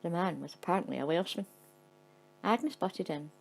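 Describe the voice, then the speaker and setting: A woman's voice: fairly low pitch, animated, normal speed, vocal fry, low-quality recording. A woman narrating a story in a dry, matter-of-fact way. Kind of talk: scripted narration.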